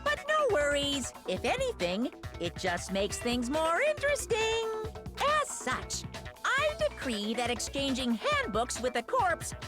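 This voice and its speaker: A woman's voice: high-pitched, wildly swooping pitch, a little slow, loud music behind the voice, exaggerated voice. A woman voicing a smug, mischievous robot bear mascot in a fan-dubbed game, with a sly, pompous delivery. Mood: smug, playful.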